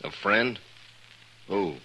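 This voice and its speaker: A bullying Old West gambler with a low, hard-edged voice. He blusters and sneers, defensive and cocky, in old radio western style. The 1950s recording carries a faint noise. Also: extremely slow, pushed and bright, heavily acted, low-quality recording. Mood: uneasy, wary. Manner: sneering, blustering, laughs scornfully.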